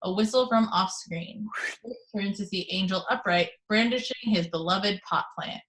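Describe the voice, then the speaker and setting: A woman reading the action descriptions of a screenplay in a steady, matter-of-fact way on a video call. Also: medium pitch, normal speed, rough recording.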